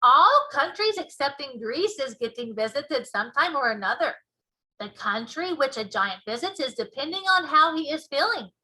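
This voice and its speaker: A woman voicing a big friendly male giant from a children's storybook, folksy and excitable, with muddled grammar and made-up words. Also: sits high, normal speed, heavily acted, poor audio. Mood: explaining. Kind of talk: children's storybook read-aloud.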